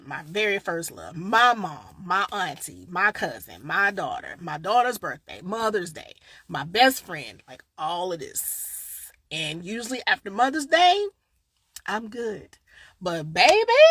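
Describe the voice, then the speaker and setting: A woman with a Southern accent, chatting casually on a live stream as a grief coach, a little tired, swinging from loud bursts to quiet asides. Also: medium pitch, breathy edge, dry recording. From Southern United States. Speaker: grief coach and podcast host. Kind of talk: casual live-stream chat answering viewers.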